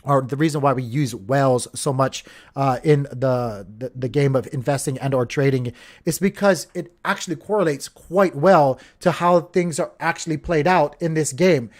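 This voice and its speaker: A man's voice: medium pitch, normal speed. A man from Hawaii hosting a crypto channel, giving casual market commentary in a rambling, chatty way, full of fillers and restarts and talking straight to the viewers. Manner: addresses viewers as guys.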